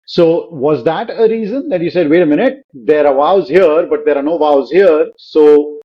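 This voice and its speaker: A man's voice: a little high, normal speed, breathy edge. A man hosting an interview, asking curious, probing questions and rephrasing them as he goes. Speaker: podcast host. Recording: dull lo-fi sound.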